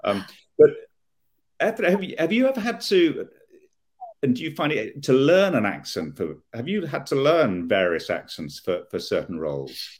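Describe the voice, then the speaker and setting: A man raised in Kenya with a posh Southern English accent, talking thoughtfully as a guest with many halting restarts. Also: medium pitch, sing-song. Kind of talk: conversational interview.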